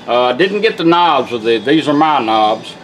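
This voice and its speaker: A man's voice: mid-range voice, lively intonation, a little slow, slight background noise, rough recording. A man giving a casual, folksy, plain-spoken demonstration as a car radio restorer. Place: indoor workshop bench. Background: music from the demonstrated radio.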